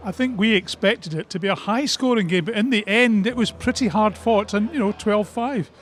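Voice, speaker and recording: A man's voice: high voice, moderate pace, slight background noise. A man asking questions in a post-match interview.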